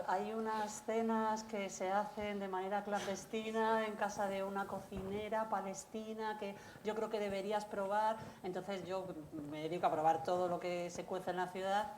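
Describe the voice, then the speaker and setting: A woman's voice: medium pitch, darkish tone. A woman from Madrid moderating a casual panel conversation at a festival, chatty and enthusiastic, often restarting her sentences. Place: festival stage. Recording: echoey room with faint background noise.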